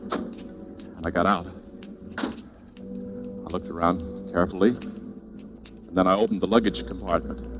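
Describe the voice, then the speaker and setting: An actor playing an anxious young American man with a halting delivery in a 1940s radio suspense drama. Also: deep voice. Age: young adult. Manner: halting, repeats words. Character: anxious young man secretly hiding a killing. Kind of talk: 1940s radio acting, first-person suspense narration. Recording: old radio recording with dramatic music.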